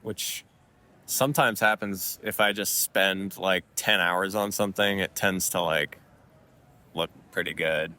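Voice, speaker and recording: A man's voice: deep voice, measured pace, bright, forceful tone. A young man co-hosting a podcast, talking in a hesitant, easygoing way with many fillers, recorded at an airport. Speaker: podcast co-host. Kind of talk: casual chat. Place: airport waiting area.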